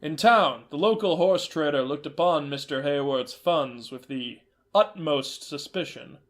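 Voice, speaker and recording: A man narrating a fairy tale in a gentle, measured storytelling manner. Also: on the high side.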